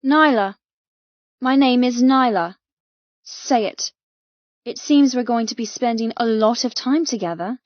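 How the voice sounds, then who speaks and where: A woman's voice: fairly high pitch, no echo. A woman plays a defiant young female captive in an audiobook drama. She is bold and provoking, pushing her words out with heated emphasis. The recording is dry and a little muffled.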